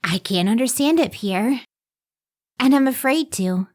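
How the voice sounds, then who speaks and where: A woman's voice: fairly high pitch, expressive, unhurried, darkish tone, no room sound, clean studio sound. A woman playing an earnest, modest scientist and devoted wife in an old-time radio drama, careful and emotive. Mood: hesitant, awed.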